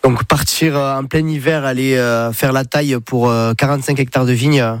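A man's voice: mid-range voice, fairly even intonation, normal speed, smooth, no room sound, studio quality. A man in his thirties with a southern French accent, a business founder telling his story in a radio interview with frequent hesitations. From Pyrénées-Orientales, France. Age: 30s. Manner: hesitant, many fillers and restarts. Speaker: guest, espadrille brand founder. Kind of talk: casual interview.

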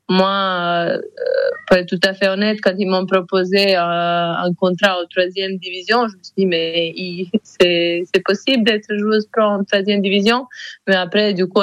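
A woman's voice: lowish voice, no room sound. Hesitant woman with a Montenegrin accent. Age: 30s. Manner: hesitant, many fillers and restarts. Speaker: radio guest, handball player. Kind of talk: radio interview answers.

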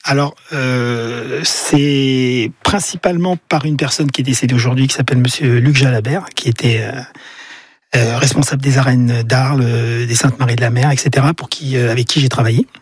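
Un homme d'âge mûr, originaire de Paris, invité d'un studio de radio, parle de son travail de peintre avec beaucoup d'hésitations. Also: male voice, mid-range voice, steady tone, normal speed, slightly rough, dry recording. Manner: hesitant, many fillers and restarts. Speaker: painter and guest. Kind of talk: radio interview answers. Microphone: studio microphone.

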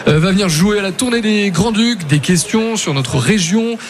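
A man's voice: high-pitched, animated, moderate pace. A man hosting a radio quiz in a chatty, playful, teasing and informal way. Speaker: radio game show host. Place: on location at a trade fair. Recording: music behind the voice.

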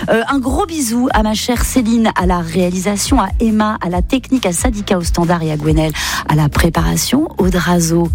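A woman's voice: mid-range voice, expressive, darkish tone, soft background music. A woman hosting a live radio call-in show from a studio, effusive and affectionate with guests and listeners. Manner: effusive, affectionate, quick to laugh. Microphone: studio microphone.

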